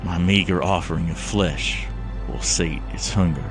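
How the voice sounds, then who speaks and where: A man playing a fervent, fatalistic last survivor in a solemn, measured, theatrical audio drama monologue. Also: low-pitched, natural voice. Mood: grim reverence. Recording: scary music behind the voice.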